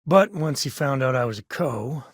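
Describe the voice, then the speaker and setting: An easygoing space ranger and protective father for a video game. His voice is husky and sits in a middle male range. He talks in a wry, folksy, conversational way, recorded very dry. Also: mid-range voice, expressive, moderate pace, no room sound. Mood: casual. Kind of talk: video game character dialogue.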